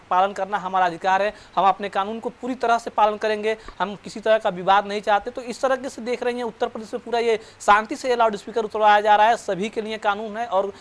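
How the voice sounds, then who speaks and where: A man reporting news live and explaining unscripted, in a halting way, often repeating and correcting his words. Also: sits high.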